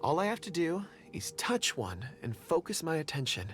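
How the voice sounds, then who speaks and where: A shy, courteous miner who speaks gently and sometimes stumbles over his words. His voice swings up and down with feeling. Game music plays clearly behind him. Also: a little high, wildly swooping pitch, normal speed. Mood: gentle. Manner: polite, hesitant, stammers a little. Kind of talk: video game voice acting.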